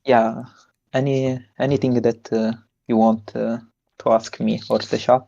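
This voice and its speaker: A young man with an Italian accent, a tournament winner giving a halting interview with many fillers and restarts. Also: mid-range voice, little pitch movement, gravelly, dark tone, highs cut off. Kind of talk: post-win stream interview.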